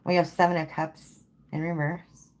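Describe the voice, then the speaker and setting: A woman's voice: sits low, flat delivery, moderate pace. A woman giving a live tarot reading to viewers, casual and chatty, tired and saying she is losing her voice. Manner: casual, repeats "okay?" and "like". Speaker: tarot reader.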